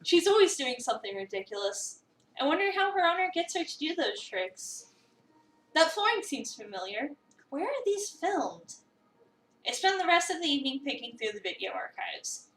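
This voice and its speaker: Girl streamer doing dramatic game reading, high bright voice, kinda echoey and off the mic. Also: sing-song, moderate pace, recorded from a distance. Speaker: streamer reading a visual novel aloud. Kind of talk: dramatic reading of a game script with casual chat asides. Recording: roomy echo, away from the mic.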